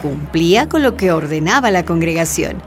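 A woman's voice: lowish voice, very animated, moderate pace, faint music behind. A woman narrating a scripted radio drama as its storyteller.

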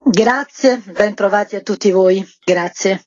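A woman's voice: mid-range voice, unhurried, darkish tone, no room sound. A woman, a theatre artistic director, explaining a project in a discursive interview style full of small asides. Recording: dull, band-limited radio interview.

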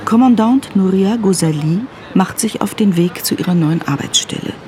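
A woman's voice: medium pitch, normal speed, a little mellow, a little hiss or hum. A woman reading scripted, present-tense narration for a radio drama.

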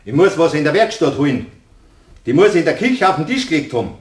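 A man's voice: a little high, sing-song, quick pace. An actor playing a flustered, grumpy man with a Bavarian accent in a radio play, blustering and scolding. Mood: irritated. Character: flustered, grumpy man hunting for lost keys. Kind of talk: German radio play acting. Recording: roomy sound, noisy old recording.

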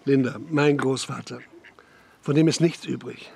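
Gravelly, sardonic skeptic. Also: male voice, mid-range voice, steady tone, measured pace, darkish tone. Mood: defensive. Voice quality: husky, gravelly. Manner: dry, ironic, clipped. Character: skeptical, sardonic, weary man, dryly mocking. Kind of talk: naturalistic radio drama dialogue.

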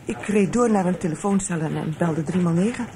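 A woman's voice: fairly low pitch, a little fast, noisy background, close to an everyday voice. An actress playing a troubled, insistent woman witness in a Dutch radio play, earnest yet hesitant and evasive. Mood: guarded, earnest.

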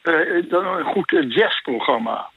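A man of seventy-seven calling a radio show by telephone, halting and searching for his words. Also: a little high, lively intonation, gravelly, fairly bright tone, breathy edge, dry recording. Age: 70s. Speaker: phone-in caller. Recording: tinny phone line.

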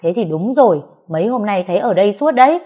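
Gossipy market neighbour for audio story, played by a woman narrator: nosy, scornful, quick to judge. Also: medium pitch, expressive, warm tone, husky. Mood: nosy, gossiping. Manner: nosy, judgmental, disparaging.

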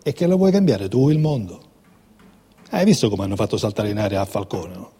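A very dark, husky, cautious friend. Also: male voice, lowish voice, a little fast. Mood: cynical warning. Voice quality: husky, breathy. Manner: confiding, cynical, pleading. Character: resigned, cautious male friend urging compromise. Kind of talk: stage drama acting.

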